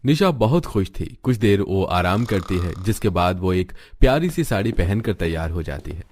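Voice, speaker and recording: A man's voice: low voice, very animated, moderate pace, a little mellow, no room sound. A man reading scripted narration for a family cartoon story.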